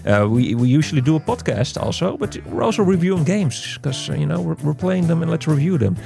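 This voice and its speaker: A man's voice: on the high side, expressive, brisk, creaky, mellow, faint music behind. A man casually hosting an unscripted video game review, chatty and rambling, often repeating words and phrases. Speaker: host of a game review channel.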